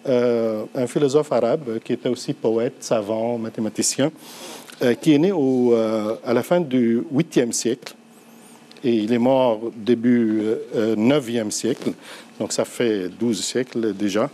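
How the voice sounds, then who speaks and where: A middle-aged man, an Arabic speaker, delivers a thoughtful conference talk in a mellow, warm tone. He speaks at an even, measured pace and pitches his voice in the middle of the male range. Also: slight background noise. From Lebanon.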